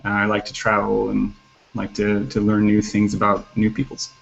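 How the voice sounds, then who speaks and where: Gravelly American man, halting. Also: lowish voice, monotone. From United States. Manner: halting, many fillers. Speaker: guest, entrepreneur. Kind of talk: casual interview answers. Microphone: video call. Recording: lo-fi video call audio.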